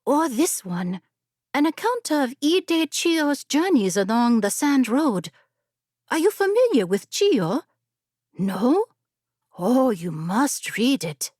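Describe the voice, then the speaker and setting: A woman plays a clever female scholar from a fantasy storybook, earnest and persuasive as she bargains. Her voice is fairly high and swoops with eager expression, in a dry, close recording. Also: big pitch swings, slow, darkish tone, no room sound, full character voice. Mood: eager salesmanship. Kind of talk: fantasy audiobook character acting.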